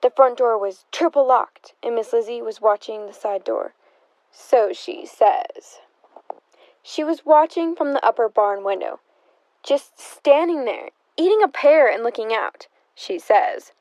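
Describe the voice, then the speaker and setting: A woman's voice: fairly high pitch, expressive, unhurried, a little mellow, no room sound, poor audio. A woman acting a nosy, sardonic interrogator in a radio drama, asking pointed questions in a wry, insinuating way. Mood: probing, skeptical. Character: nosy, sardonic, probing interrogator of a murder suspect's sister.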